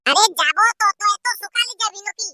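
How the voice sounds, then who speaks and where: Man as a grumbling, high-voiced cartoon villager. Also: quick pace, noisy background. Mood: blustery. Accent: Purulia. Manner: grumbling, blustery. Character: grumpy village man roused from sleep. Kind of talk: comic cartoon dialogue.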